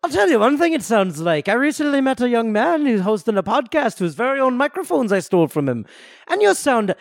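A man's voice: extremely high pitch, sing-song, fairly quick, creaky, dry recording, studio quality, heavily acted. A man voicing an eager, boastful Irish superhero in an improvised comedy, chatty and breezy with an Irish accent. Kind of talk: improvised comedy podcast in character.

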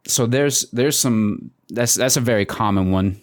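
A man co-hosting a podcast, explaining things casually and haltingly, repeating words. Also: medium pitch, dry recording, studio quality. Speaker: podcast co-host. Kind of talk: casual conversation.

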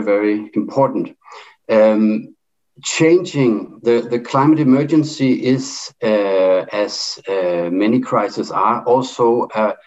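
Halting Danish-accented man, muffled audio. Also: on the low side, darkish tone. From Denmark. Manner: halting, with many fillers and restarts. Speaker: debate speaker. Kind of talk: speaking in an online debate.

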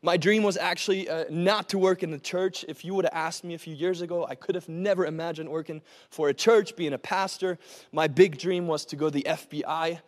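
A man's voice: fairly high pitch, fairly even intonation, a little fast. A man from Germany who partly grew up in Oklahoma, speaking as a guest pastor in an earnest sermon with personal testimony, often repeating words as he goes. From born and raised in northern Germany, then Oklahoma from age twelve.

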